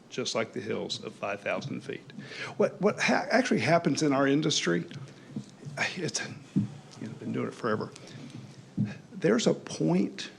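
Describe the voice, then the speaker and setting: A man presenting a housing development to a city council in a confident, folksy, persuasive way, clearing his throat as he starts to lose his voice. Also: mid-range voice, a little hiss or hum. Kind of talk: public meeting presentation.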